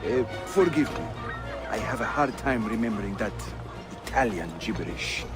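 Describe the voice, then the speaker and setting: A man's voice: on the low side, animated, moderate pace, loud music behind the voice, natural voice. An actor playing a jovial, talkative Ottoman assassin leader in a video game, with a Turkish accent and a teasing, theatrical manner. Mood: genial, playful. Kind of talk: video game cutscene acting.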